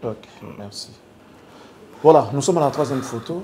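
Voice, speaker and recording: Mellow-voiced Congolese male interviewer. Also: moderate pace, a little hiss or hum. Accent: Congolese French. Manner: low-key, brief prompting questions. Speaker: interviewer, host. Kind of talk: casual interview questions. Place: TV studio.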